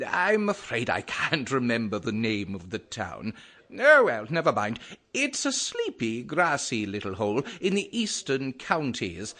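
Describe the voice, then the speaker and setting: A man playing a genial, rambling priest who narrates a radio mystery tale in a gentle, digressive and whimsical manner. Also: a little high, heavily acted. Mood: mildly flustered. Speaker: storyteller narrator.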